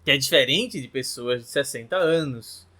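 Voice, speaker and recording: A man in his thirties from northeastern Brazil with a Brazilian Rio Grande do Norte accent, teaching a relaxed lesson to camera and often tagging his phrases with questions. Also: mid-range voice, moderate pace. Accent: Brazilian Portuguese, from Rio Grande do Norte. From Natal, northeastern Brazil. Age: 30s. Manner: chatty, ends phrases with tag questions. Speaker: language teacher.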